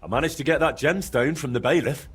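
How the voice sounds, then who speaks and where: An actor playing a practical young adventurer in a video game, speaking in a direct, wry way. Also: male voice, a little high, quick pace, husky, faint music behind. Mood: friendly. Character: plain-spoken, practical young male adventurer. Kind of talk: naturalistic video game dialogue.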